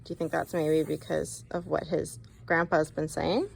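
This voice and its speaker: A woman reading a picture book to children in an expressive, guiding way, adding comments and questions as a teacher would. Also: low-pitched, normal speed, vocal fry, slight background noise, poor audio. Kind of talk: read-aloud for children with commentary asides.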